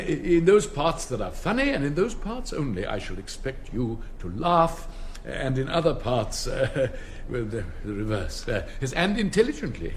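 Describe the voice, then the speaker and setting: An older actor playing a fussy, pompous headmaster, hesitant and theatrical, with an upper-class English accent. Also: male voice, mid-range voice, a little mellow, a little hiss or hum, natural voice. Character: dithering, pompous elderly headmaster addressing parents. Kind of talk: theatrical radio drama acting.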